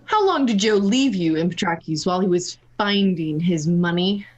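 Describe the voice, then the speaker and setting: A woman's voice: fairly low pitch, expressive, normal speed, highs cut off. A woman playing a no-nonsense police detective sergeant in an old-time radio crime play, commanding and matter-of-fact. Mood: brisk, suspicious.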